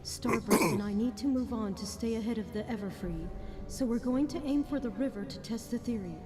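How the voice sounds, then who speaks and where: A woman's voice: on the high side, fairly quick, close to an everyday voice. A woman playing a scholarly female alicorn, reading a formal report in a precise, studious manner. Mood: earnest, formal. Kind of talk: formal letter reading in audio drama.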